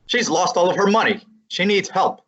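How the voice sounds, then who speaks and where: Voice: medium pitch, normal speed, a bit bright, dull audio, close to an everyday voice. A performer playing a brash young male assistant who also narrates in an old-time radio drama, talking in a teasing, breezy way. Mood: needling.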